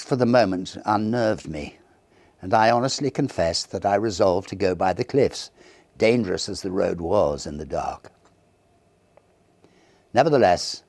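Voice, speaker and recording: A man narrates a Victorian ghost tale in a low, gravelly voice. His delivery is theatrical and measured, with animated swoops and dramatic pauses. He sounds like a classic storyteller at work. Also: dry recording. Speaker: audiobook narrator.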